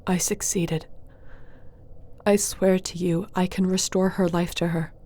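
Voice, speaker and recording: An earnest, defiant sorceress, voiced by a woman, in a fantasy audio play. Her voice sits fairly low and carries a firm intensity, as one who has been wronged. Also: level tone, a little slow, warm tone. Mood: pleading. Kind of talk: fantasy audio drama acting.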